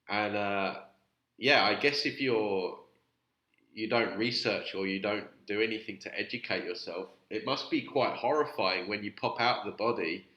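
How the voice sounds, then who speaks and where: A man's voice: on the low side, sharp tone, echoey room. A man with a cold, sounding nasal, hosting a chatty, rambling commentary on his channel. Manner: chatty, rambling, with many fillers. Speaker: YouTube channel host reviewing videos. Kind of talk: casual vlog commentary.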